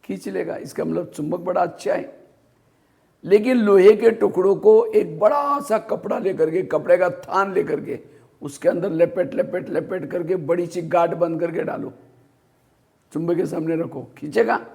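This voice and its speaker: A man's voice: high voice, moderate pace, gravelly, a little mellow. A man giving a spiritual class, telling anecdotes and asking rhetorical questions that he answers himself. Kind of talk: Hindi spiritual discourse.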